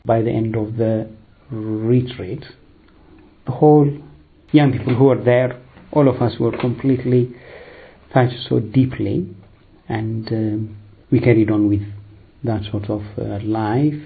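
Priest, gravelly voice, lots of uhs, sounds like over a phone. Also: male voice, mid-range voice, steady tone, narrow, tinny audio. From Eritrea, raised in Ethiopia. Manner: hesitant, unhurried, many fillers. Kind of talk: personal vocation testimony.

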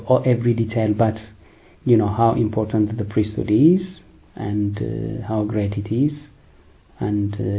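A priest, telling his vocation story in a hesitant, unhurried way with many fillers. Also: fairly low pitch, creaky, narrow, tinny audio. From Eritrea, raised in Ethiopia. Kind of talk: personal vocation testimony.

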